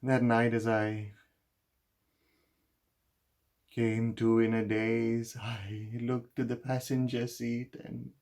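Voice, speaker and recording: Man acting a very deep-voiced, guilt-ridden husband. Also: flat delivery, darkish tone, slightly rough, heavily acted. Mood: distraught, intense. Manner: slow, halting, confessional delivery with heavy pauses. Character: guilt-ridden husband who caused a fatal drunk-driving crash. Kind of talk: dramatic monologue performance.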